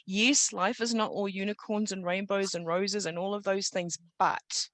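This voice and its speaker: A middle-aged woman with a New Zealand accent, sharing personal motivational stories in an earnest, reflective and deliberate way on an online call. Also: medium pitch, fairly even intonation, a bit bright. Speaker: guest storyteller. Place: online video gathering. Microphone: video call.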